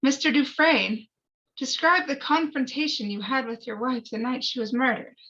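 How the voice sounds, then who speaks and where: Woman playing a sardonic, high-voiced courtroom prosecutor. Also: bright, forceful tone. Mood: probing. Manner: accusatory, deliberate, sardonic. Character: pressing, sarcastic courtroom prosecutor questioning a witness. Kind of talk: dramatic screenplay reading. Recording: dull, lo-fi recording.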